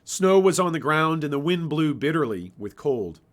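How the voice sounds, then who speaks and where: Male author-host, 30s, slightly high voice, storybook read-aloud and informal book presentation. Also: very animated, moderate pace.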